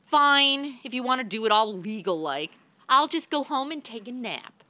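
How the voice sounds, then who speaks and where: A woman playing a brash, shameless, sarcastic woman who wisecracks deadpan in a comic radio play. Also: a little high, normal speed, no room sound. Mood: brazen, cheeky. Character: brash, shameless, self-absorbed sarcastic woman, Miss Standish.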